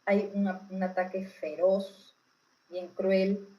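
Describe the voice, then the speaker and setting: A woman's voice: mid-range voice, little pitch movement, takes it slowly, lo-fi sound. A woman from Peru with a Peruvian accent, explaining things informally as an interviewed expert, in a didactic way with frequent tag questions. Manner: didactic, often checking understanding with tag questions. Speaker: political expert being interviewed.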